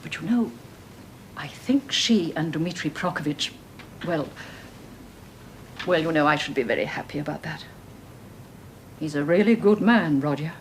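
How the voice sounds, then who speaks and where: A fretful old mother in a radio play, chattering on and choking up as she fusses over her son. Her voice sits low for a woman but swings widely in pitch, with a faint hiss behind it. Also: lively intonation, unhurried, pauses often. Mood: doting. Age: older. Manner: fussing, rambling, tearful. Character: tearful doting elderly mother. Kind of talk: radio drama acting.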